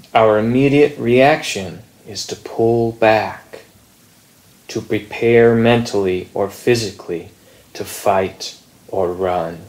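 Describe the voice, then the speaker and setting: A man reading a contemplative spiritual text in a measured, deliberate manner with long pauses. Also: mid-range voice, darkish tone, poor audio. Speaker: reader at a Zen meditation group.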